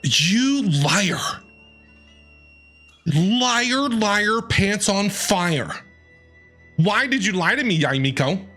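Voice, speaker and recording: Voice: mid-range voice, animated, drawn-out words, pauses often, bright, forceful tone. A streamer giving casual, playful, chatty commentary while playing through a game. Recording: game music behind.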